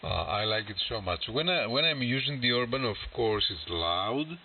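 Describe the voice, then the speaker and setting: A man with a Greek accent chatting casually as an amateur radio operator, halting and searching for words, over a shortwave radio link. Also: mid-range voice, lively intonation, distant mic. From Greece. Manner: halting, searching for words in non-native English. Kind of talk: casual ham radio conversation. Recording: hissy, muffled shortwave radio transmission.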